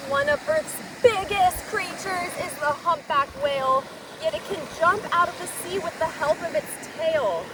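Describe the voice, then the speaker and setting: A woman reads a children's story in a high, lively voice with wide swings in pitch. She takes her time and explains things simply for little listeners. The mic sounds a little far from her. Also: normal speed. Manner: expressive, explains words simply to young listeners. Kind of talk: read-aloud of a children's picture book with asides. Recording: distant mic, noisy surf-like outdoor ambience.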